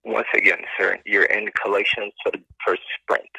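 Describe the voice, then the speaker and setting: A man working as a debt collection agent on a phone call, giving a scripted pitch in an insistent, repetitive, formal manner. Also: little pitch movement, moderate pace, creaky, pushed and bright, husky, no room sound. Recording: phone line. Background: telephone call.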